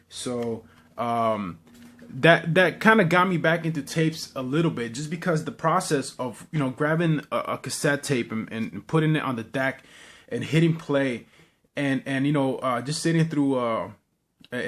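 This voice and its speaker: A man talking casually and unscripted as a vlogger, in a halting, rambling way with repeated words. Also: mid-range voice, fairly bright tone. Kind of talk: casual unscripted show-and-tell.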